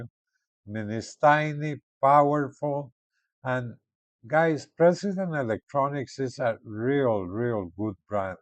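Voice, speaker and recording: A man giving casual unboxing and product commentary in an informal, halting way, often repeating words. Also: mid-range voice, no echo. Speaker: product reviewer.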